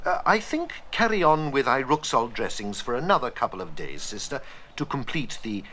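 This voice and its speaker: Brisk, courteous male hospital doctor, played by an actor, radio play style, bright and lively in pitch, faint noise behind. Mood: matter-of-fact. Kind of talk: educational radio play acting, dictation and phone calls.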